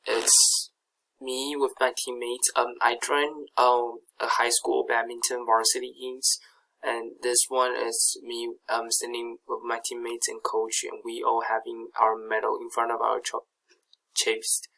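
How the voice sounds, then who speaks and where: A man's voice: mid-range voice, monotone, a little slow, vocal fry, pushed and bright, low-quality recording. A young man from Taiwan, a native Chinese speaker with a Taiwanese accent, giving a slide presentation in a hesitant way, with many fillers and restarts. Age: young adult. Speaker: student presenter.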